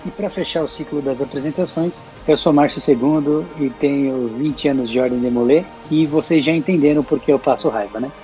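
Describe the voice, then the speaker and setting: A man's voice: medium pitch, a little fast, few pauses, warm tone, faint music behind, low-quality recording. A Brazilian man hosting a casual podcast with friends, talking in a teasing, slangy way. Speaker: podcast host. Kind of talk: casual group podcast banter.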